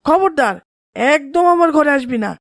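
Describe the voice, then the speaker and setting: A woman's voice: high voice, lively intonation, moderate pace, no echo, studio quality. A woman voicing a scornful young schoolboy, a rude older brother, in a children's cartoon. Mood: sharp, upset. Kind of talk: children's moral cartoon voice acting.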